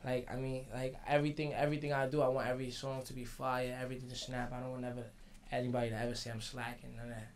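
A teenage man from the Bronx, a rapper guest answering in a laid-back, slangy, halting way in a radio studio. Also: mid-range voice, little pitch movement. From the Bronx, New York. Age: teens. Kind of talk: casual interview. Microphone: studio mic on stand.

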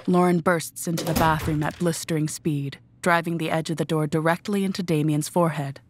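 A woman's voice: sits low, steady tone, a little fast, background noise. A woman reading scripted narration for an audio drama.

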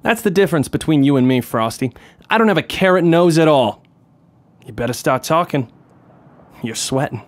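A man playing a tough, dry, deadpan detective in a comedic noir radio play. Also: a little high, normal speed, pauses often, lightly acted. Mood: cool, threatening. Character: hard-boiled male detective, tough, dry, threatening.

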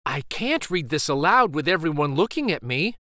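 A man's voice: fairly high pitch, sing-song, normal speed, bright, forceful tone, dry recording. A man voicing a timid, worried little schoolgirl in a storybook, hesitant and anxious.

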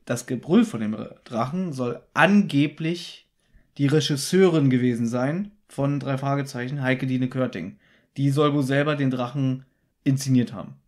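A man's voice: mid-range voice, normal speed. Man retelling a radio-play plot. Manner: retells plot in detail, animated when joking. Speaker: podcast co-host. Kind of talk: casual chat reviewing a radio play.

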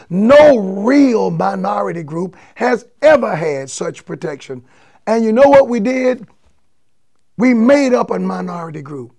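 An older male bishop speaks in a high, gravelly voice, raspy and a little under the weather. He preaches emphatically from his desk, building to forceful peaks. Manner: emphatic, animated hand gestures. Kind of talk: invitation to a church service, preaching. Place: home office study with bookshelves. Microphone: lapel mic, seated at desk.

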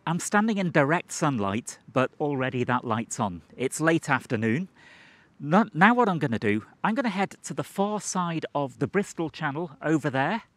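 A man's voice: on the high side, a bit bright, no echo. A man in his forties presenting an explanatory vlog in a conversational, methodical way. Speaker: video presenter. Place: outdoors and inside a moving car. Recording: clear ambient outdoor and car noise.

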